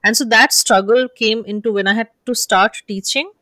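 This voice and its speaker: A woman's voice: fairly high pitch, normal speed, pushed and bright, no echo. A woman with an Indian accent, hosting a casual conversation. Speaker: podcast host.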